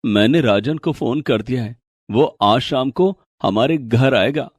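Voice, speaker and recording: A man voicing a kindly, earnest, gently authoritative older father-in-law in a cartoon story. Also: medium pitch, unhurried, dry recording, studio quality, close to an everyday voice. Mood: thoughtful. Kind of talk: animated moral story dialogue.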